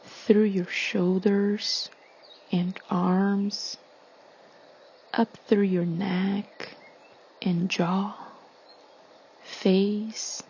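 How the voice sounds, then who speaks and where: A woman leading a guided meditation in a soothing, hushed, breathy delivery with long pauses. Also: mid-range voice, flat delivery, lo-fi sound.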